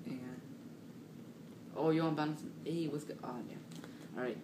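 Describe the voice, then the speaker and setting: A man's voice: fairly high pitch, fairly even intonation, a little slow. Man telling a slangy story, echoey and noisy. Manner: slangy, repetitive, acts out quotes. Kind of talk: animated casual storytelling. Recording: roomy, distant mic, clearly noisy.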